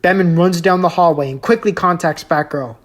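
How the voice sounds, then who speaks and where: A woman's voice: on the low side, fairly quick. A woman reading a scripted story as a storyteller, in dramatic narration. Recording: music laid under the voice. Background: music.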